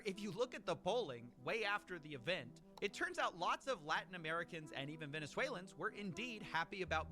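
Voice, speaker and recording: A man narrates a scripted video essay in a fairly high, animated voice, explaining step by step. Music plays clearly underneath, heard from a video played back on a stream.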